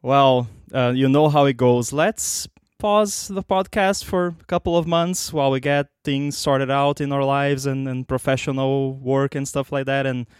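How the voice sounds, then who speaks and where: A man co-hosting a tech podcast in relaxed casual chat, giving short replies and laughing easily. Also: mid-range voice, unhurried, clear, resonant tone, no room sound, studio quality. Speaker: podcast co-host.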